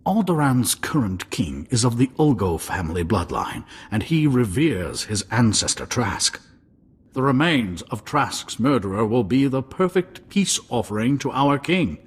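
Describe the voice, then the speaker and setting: An actor playing a pompous, apologetic nobleman in a video game, speaking formally and haltingly. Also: medium pitch, very animated, husky, heavily acted. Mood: solemn, pleading. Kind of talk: video game cutscene acting.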